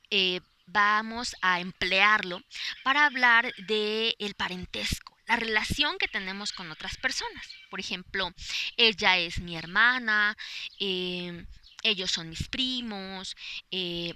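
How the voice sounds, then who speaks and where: A woman's voice: medium pitch, bright, forceful tone, slight background noise. A woman with a Mexican accent, a Spanish teacher giving a podcast lesson outdoors in a park, explaining patiently with many fillers and examples.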